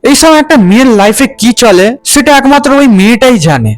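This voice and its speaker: A man's voice: extremely high pitch, sing-song, a little fast. A man delivering a mocking meme-review roast, full of crude slang and sarcastic teasing. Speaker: roast video host. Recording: music bed under voice.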